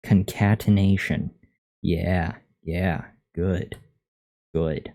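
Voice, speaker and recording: Male live streamer, very low and relaxed, monotone, casual desk-mic chat while learning to code. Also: extremely slow, gravelly. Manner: thinking aloud, reading out and answering chat. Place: untreated room at a computer. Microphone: USB condenser microphone at a desk.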